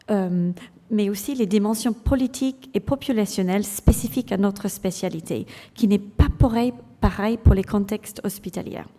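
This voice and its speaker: A woman from England with an English accent presents to a room of doctors, her mellow voice carrying a long reverb through the lecture hall. She speaks with frequent hesitations and keeps the audience involved with quick questions. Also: medium pitch. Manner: engaging, polls the audience, hesitant fillers. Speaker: general practitioner and clinical lecturer presenting. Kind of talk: conference presentation.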